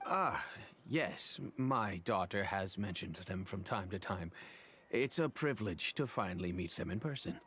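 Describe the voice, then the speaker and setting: An actor playing a grave, secretive middle-aged wealthy father who speaks deliberately. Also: deep voice, animated, a bit bright, slight background noise. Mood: courteous.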